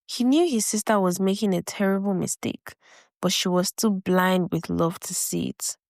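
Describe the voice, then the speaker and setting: A woman's voice: mid-range voice, moderate pace, gravelly, darkish tone, no room sound. Close, dry-voiced woman telling a story. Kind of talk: scripted folktale narration with acted dialogue.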